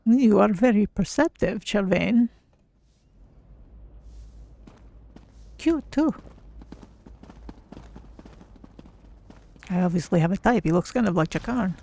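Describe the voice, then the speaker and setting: Woman voicing a mellow, curious cat-folk adventurer. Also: medium pitch, expressive, a little fast, vocal fry. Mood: curious, polite. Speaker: streamer voicing own game character. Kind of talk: in-character game playthrough commentary.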